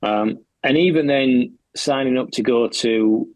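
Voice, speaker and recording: A man's voice: mid-range voice, unhurried, darkish tone, dry recording, low-quality recording. A man in his forties, a podcast guest, telling his story with wry humour. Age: 40s. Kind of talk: casual chat.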